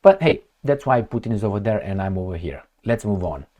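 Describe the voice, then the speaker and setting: A man's voice: fairly low pitch, animated, fairly quick, mellow. A man giving blunt, sarcastic political commentary, firing off rhetorical questions and swearing as he reacts to news reports.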